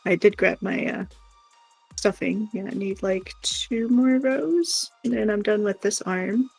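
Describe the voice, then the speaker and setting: A woman with a gravelly, husky voice chats casually on a livestream. She rambles and giggles as she goes, over faint background music. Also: medium pitch, unhurried, a little mellow. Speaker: live stream co-host. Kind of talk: casual livestream chat while crocheting.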